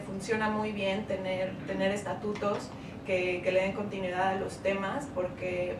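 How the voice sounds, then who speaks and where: Mexican woman on a panel, flat and echoey. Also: medium pitch. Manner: earnest, steady, frequent small restarts. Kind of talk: panel talk. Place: event hall. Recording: echoey hall, distant mic, noisy.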